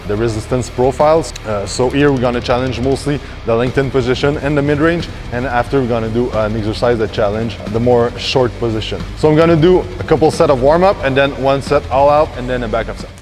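A man in his thirties coaches a workout from the floor of a large indoor gym, explaining each exercise step by step. He talks at a mid pitch, and his explanations are full of fillers and restarts. Faint music plays behind him, picked up on a lapel mic. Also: darkish tone. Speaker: fitness coach.

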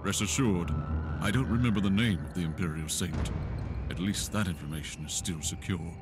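Man playing guilt-ridden male priest, low pitch, earnest, solemn, video game dialogue, background music. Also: moderate pace, natural voice. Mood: solemn, penitent. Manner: solemn, confessional.

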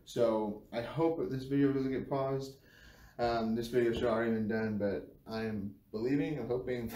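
A mellow-voiced American man speaks informally, like a church devotional on a livestream. He halts and doubles back on phrases. The sound is roomy and a bit distant from the phone, with faint noise. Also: lowish voice. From United States. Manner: halting, repeats phrases as he goes. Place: small bare-walled room indoors. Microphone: phone camera a few feet away.

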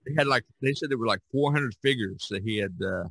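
A man in a casual podcast discussion about art, telling stories in a halting, repetitive way. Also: medium pitch, gravelly, a bit bright, breathy edge, dry recording. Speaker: podcast co-host.

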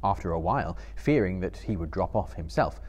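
A man's voice: deep voice, expressive, a little fast, darkish tone. A man in his thirties giving a dramatic literary reading as a storyteller to a live audience. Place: book-lined room before a small live audience.

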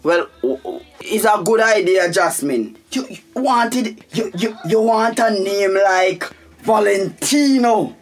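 A man's voice: high voice, animated, slow. An actor playing a sceptical, teasing Jamaican man in a radio soap, with stammering starts.

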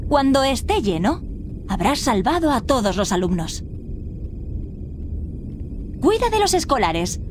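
A woman's voice: sits high, big pitch swings, measured pace, long pauses, background noise. A woman reading scripted instructions as the tutorial narrator of a video game.

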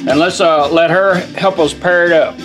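A man's voice: a little high, steady tone, normal speed, rough recording. A plain-spoken man casually walking through a hands-on product demonstration step by step. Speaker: radio restorer demonstrating products. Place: indoor workshop. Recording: music from demo radio behind voice.

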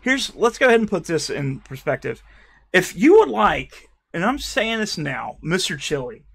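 A man in his thirties reading fan comments aloud on his channel, rambling and swearing, with a stutter he mentions himself, recorded by a webcam in a dark room at home. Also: high-pitched, sing-song, moderate pace, gravelly, breathy edge. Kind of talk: casual reaction commentary.

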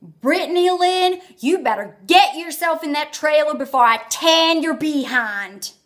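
A woman's voice: high voice, expressive, a little slow, pitched above the performer's own voice. A woman putting on a Southern US accent as a stern mother scolding her daughter, filmed on a phone at arm's length. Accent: Southern US (put on). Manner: loud scolding drawl.

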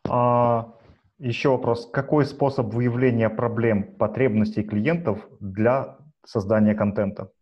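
A man in his thirties hosting a live video interview, reading out viewer comments with brisk fillers between questions. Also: mid-range voice, steady tone. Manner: brisk, filler-heavy moderating. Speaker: interview host. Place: home room, plain wall. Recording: dull lo-fi video call audio.

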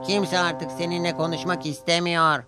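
A man's voice: fairly high pitch, little pitch movement, moderate pace, voice pushed up, lightly acted. A grumpy talking locomotive acted out by a man, grumbling and irritated in a somewhat raised voice. It is a children's cartoon part with music underneath. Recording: music behind the voice.

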